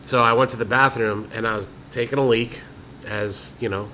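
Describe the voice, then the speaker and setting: A man with a bright, forward tone chats casually on a podcast, spinning an offhand story and swearing without a second thought. Faint background noise sits under his voice. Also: medium pitch, steady tone, moderate pace, rough recording. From Florida. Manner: casual storytelling with frequent profanity. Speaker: podcast co-host. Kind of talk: casual chat.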